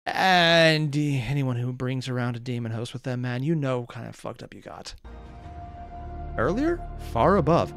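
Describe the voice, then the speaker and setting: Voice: medium pitch, steady tone, moderate pace, few pauses, a bit bright, smooth, dry recording, soft background music. A reaction host giving casual, blunt commentary, musing aloud and swearing freely.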